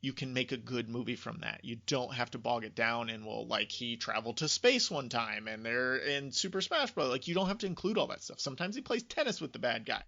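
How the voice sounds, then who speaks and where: A man co-hosts a casual podcast in a bright voice with a gravelly edge. He lays out his opinions emphatically. The audio is dry and close but somewhat dull and lo-fi. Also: mid-range voice, fairly quick, hardly any pauses. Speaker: podcast co-host.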